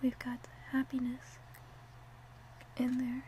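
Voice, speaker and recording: A woman playing a gentle, attentive witch in a soft, unhurried whispered ASMR roleplay. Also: sits high, monotone, a little hiss or hum, rough recording. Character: gentle, attentive witch mixing love potions.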